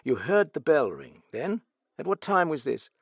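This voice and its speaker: Picture a male reader doing a measured investigator who probes with steady, pointed questions. His tone stays level and controlled. The recording is dry and close. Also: a little high, vocal fry, slightly rough, no echo, highs cut off, pitched above the performer's own voice, heavily acted. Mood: probing. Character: calm, probing male investigator.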